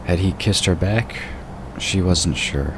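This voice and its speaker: Man, very deep bass voice, scripted fantasy narration, audio drama, noticeable background noise. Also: slow pace. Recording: clearly noisy, sound effects behind. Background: rumbling ambience and sound effects.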